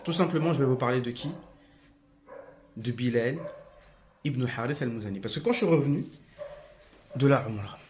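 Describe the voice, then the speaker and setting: A man's voice: lowish voice, darkish tone, slight background noise. A young man from France giving an unscripted, defiant monologue to camera, with many hesitations and restarts. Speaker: religious video commentator.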